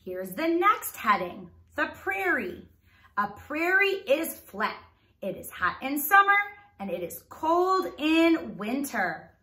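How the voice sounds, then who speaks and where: A woman's voice: high voice, bright, forceful tone, echoey room. A woman reading aloud as a teacher to young children, enunciating carefully and prompting them with questions.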